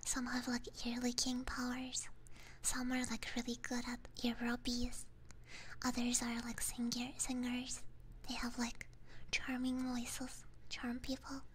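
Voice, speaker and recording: A woman livestreaming ASMR in a soft breathy whisper, chatting casually with viewers close to a binaural microphone. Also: on the high side, completely flat delivery, unhurried, a little hiss or hum. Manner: casual, chatty, frequent swearing. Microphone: binaural ear microphone, close up.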